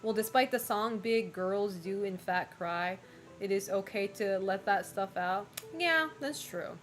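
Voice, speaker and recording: A young woman streamer in casual chat, frank and talkative, laughing often and filling pauses with "like" and "um". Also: medium pitch, soft background music. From United States and Japan. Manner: chatty, frank, laughs often, many fillers. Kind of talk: casual chat reading viewer messages.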